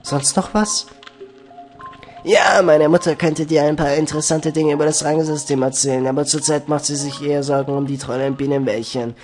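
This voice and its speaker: A man's voice: a little high, fairly even intonation, brisk, pitched above the performer's own voice, close to an everyday voice. A man voicing a young village woman in a role-playing game, reading her lines plainly. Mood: brisk. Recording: faint game music behind.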